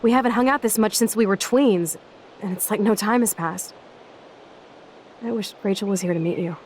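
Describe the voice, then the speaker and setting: A woman's voice: medium pitch, moderate pace, darkish tone, natural voice. A young woman played by an actress as a brash video game punk, sarcastic and fiercely loyal. Her talk is blunt and slangy in a natural mid-range voice, over faint background noise. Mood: candid, wry. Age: young adult.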